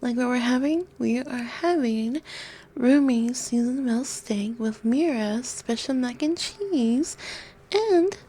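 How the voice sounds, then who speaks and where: A woman voicing an excitable, affectionate and playful young woman in an ASMR roleplay, gushing and teasing. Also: fairly high pitch, takes it slowly, husky, full character voice. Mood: excited.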